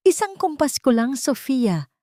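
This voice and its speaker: A woman's voice: high voice, big pitch swings, unhurried, warm tone, dry recording, clean studio sound, pitched above the performer's own voice. A woman voicing a threatening, commanding older matriarch villain in an audio drama. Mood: menacing, commanding.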